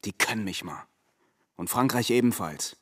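An actor playing a restless, ambitious young French ship's hand in a radio drama, defiant and emphatic. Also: male voice, on the low side, lively intonation, moderate pace, fairly bright tone.

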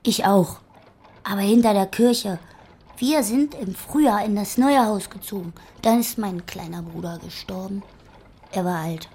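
A woman playing a simple-minded, childlike female camp inmate in an audio drama, speaking in short, plain, dreamily matter-of-fact statements with a slightly husky voice. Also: medium pitch, measured pace, a little mellow, a little hiss or hum, lightly acted. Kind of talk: literary audio drama dialogue.

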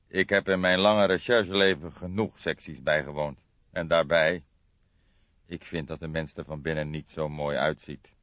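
A man voicing a calm, wry old police detective who speaks with careful deliberation, in an audiobook. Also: a bass voice, moderate pace, dry recording, lo-fi sound. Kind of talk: audiobook character voice in a detective story.

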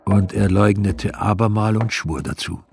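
An actor as a grave gospel narrator in a radio drama, telling the story in a measured way. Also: male voice, fairly low pitch, fairly even intonation, creaky, a little mellow. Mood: grave, narrating.

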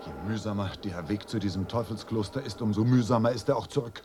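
A man's voice: on the low side, fast, close to an everyday voice. A man acting in a radio drama as an agitated, impatient, sceptical young Englishman, speaking with exasperated emphasis. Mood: sceptical, irritated. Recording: noisy drama scene.

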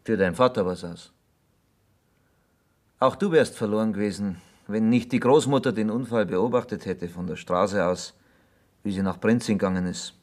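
An actor playing a sober, probing confidant in a German radio drama, speaking in a measured, matter-of-fact way. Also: male voice, lowish voice, close to an everyday voice. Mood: grave, calm. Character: sober, probing male confidant to a farm boy.

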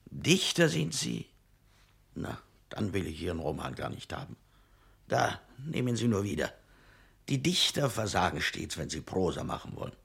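An actor playing a shrewd, haughty old bookseller in a radio drama, with a schoolmasterly, condescending, muttering manner. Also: male voice, low-pitched, very animated, normal speed, fairly bright tone, full character voice. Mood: dismissive. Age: older. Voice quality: husky, gravelly.

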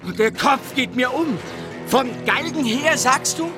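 High, excitable astonished robber. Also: male voice, a little slow, music in the background. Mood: excited. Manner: bewildered, questioning. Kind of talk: radio play acting.

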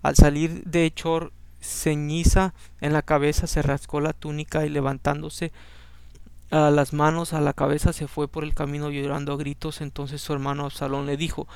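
A man with a slightly raised pitch and a gravelly edge under an otherwise smooth, resonant voice. His first language is Spanish. He reads scripture aloud and breaks off into chatty personal commentary, often tagging a questioning "no?" onto his sentences. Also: completely flat delivery, normal speed, a little mellow, dry recording. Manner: conversational, keeps adding a questioning "no?"; frequent fillers and restarts. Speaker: Bible reading podcast host.

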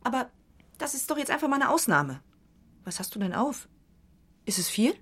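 A woman playing a brisk, insistent, questioning mother in a children's radio play. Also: fairly high pitch, sing-song, husky.

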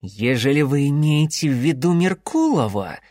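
A man's voice: fairly high pitch, sing-song, normal speed, darkish tone, dry recording, studio quality. A man voicing an anxious young merchant's son in an audiobook, boastful yet servile, with a fawning, self-important manner. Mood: scornful, condescending. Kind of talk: audiobook character voice.

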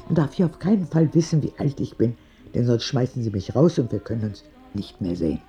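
A woman's voice: a bass voice, lively intonation, a little fast, mellow, highs cut off, soft background music. Salty, blunt old lady. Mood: teasing, conspiratorial. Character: feisty, foul-mouthed, kindly very old hospital lady. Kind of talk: radio drama dialogue.

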